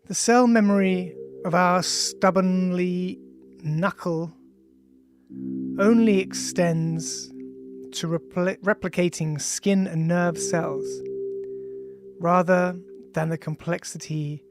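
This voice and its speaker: An author of unclear gender reads their own scientific audiobook in a measured way, speaking punctuation aloud. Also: mid-range voice, fairly even intonation. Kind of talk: audiobook reading of a scientific text. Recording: music behind the voice. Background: ambient music with bell-like tones.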